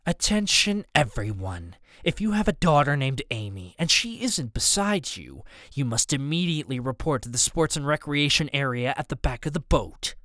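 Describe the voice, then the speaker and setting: A man's voice: mid-range voice, sing-song, normal speed, few pauses, a bit bright, dry recording, clean studio sound. A man voicing a cold male villain in an audiobook, commanding and mocking as he makes his threats. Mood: cold announcing. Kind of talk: audiobook character dialogue.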